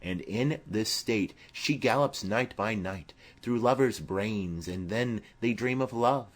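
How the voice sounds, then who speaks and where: A man voicing a boisterous, witty young gentleman in a theatrical Shakespeare audiobook reading. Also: on the low side, slow. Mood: fanciful, theatrical. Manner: theatrical, declamatory.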